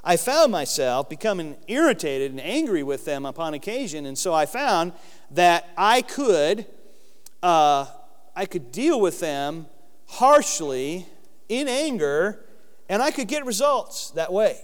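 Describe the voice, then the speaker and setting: Loud earnest man teaching scripture. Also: high-pitched, sing-song, in a large hall. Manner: earnest, emphatic with slow stressed phrases. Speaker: Bible teacher. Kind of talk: sermon-style Bible lesson.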